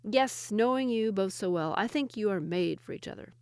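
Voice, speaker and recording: A woman's voice: mid-range voice, lively intonation, moderate pace, clear, resonant tone, no room sound, lightly acted. A woman voicing a sly young male woodcutter who poses as a physician.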